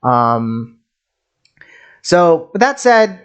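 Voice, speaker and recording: A game streamer reacts casually in a middle-pitched voice. The delivery is rambling, with frequent stammered repetitions as thoughts get worked out aloud. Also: sing-song, drawn-out words, smooth. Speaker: streamer, game content creator.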